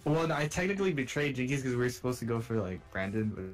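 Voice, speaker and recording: A man chatting casually with friends during a video game, often halting and muttering through his sentences. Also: mid-range voice, very animated. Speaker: online video game player. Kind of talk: casual gaming banter. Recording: game music behind the voice.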